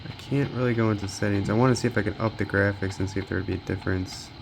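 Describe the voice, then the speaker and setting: A man's voice: fairly low pitch, steady tone, rapid-fire, vocal fry, background noise. A man giving casual gameplay commentary as a handheld gaming reviewer, informally thinking aloud as he tests. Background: video game music.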